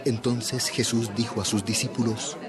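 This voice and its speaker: A man's voice: medium pitch, little pitch movement, normal speed, over a noisy crowd. A man narrating a dramatized audio Bible as scripted storytelling. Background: music.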